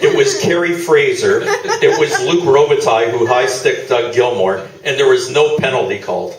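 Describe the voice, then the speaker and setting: A man's voice: medium pitch, big pitch swings, normal speed, a crowd in the background, lightly acted. A man playing a wry, pedantic, matter-of-fact colleague in a comic stage radio play. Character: pedantic, deadpan male colleague correcting a boaster. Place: small theatre stage, live performance. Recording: echoey stage, distant noisy camera mic.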